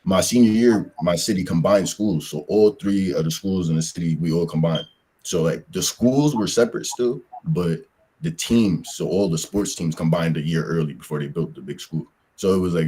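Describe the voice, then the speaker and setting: Very deep-voiced young man, husky. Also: warm tone. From Pennsylvania. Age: young adult. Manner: loose, rambling, slangy, lots of "like" fillers. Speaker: football player guest. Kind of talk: casual interview answers.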